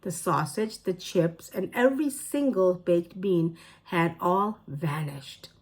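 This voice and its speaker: A woman teacher reading a children's chapter book aloud, clear and expressive. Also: on the low side, takes it slowly. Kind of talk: storybook read-aloud.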